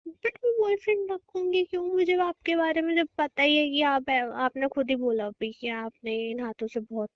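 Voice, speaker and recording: A woman playing a hesitant, exasperated young bride who explains haltingly and pleadingly in a conversational audio drama. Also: high voice, fairly even intonation, close to an everyday voice. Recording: dull, lo-fi call-recording sound.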